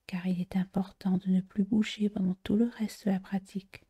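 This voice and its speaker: Female meditation guide: hushed, very soft and mellow delivery, unhurried and soothing, heavy breathiness. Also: mid-range voice, level tone, slightly rough. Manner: slow, soothing, with long pauses. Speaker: yoga nidra relaxation guide.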